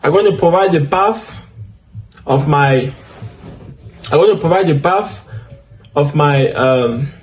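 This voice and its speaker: A man speaking English with a French accent, his voice sitting a little high for a man. He talks a tech tutorial through step by step, with plenty of fillers and quick self-corrections. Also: slight background noise. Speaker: DevOps instructor. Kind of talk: screen-share tutorial walkthrough.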